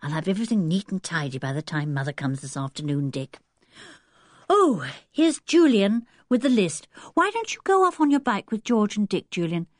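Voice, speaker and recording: A woman's voice: medium pitch, big pitch swings, normal speed, no echo, studio quality, voice pushed up. A woman voicing a happy, helpful young girl full of wonder in a children's audiobook, sweet and contented, with a British accent. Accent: British English. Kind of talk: children's audiobook character acting.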